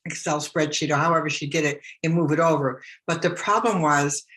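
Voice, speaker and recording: Bright-voiced woman giving a chatty tutorial. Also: sits low, steady tone, fairly quick. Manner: chatty, repeats herself, many fillers and restarts. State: losing her voice. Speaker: business blogger teaching online marketing. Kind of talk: casual screen-share tutorial.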